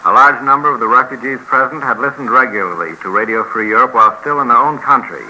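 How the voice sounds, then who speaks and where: A man delivers a formal scripted report on old 1950s radio. His mid-pitched voice is extremely bright and hard-edged, with faint noise on the recording. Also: quick pace, dull audio. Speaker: program director giving a report.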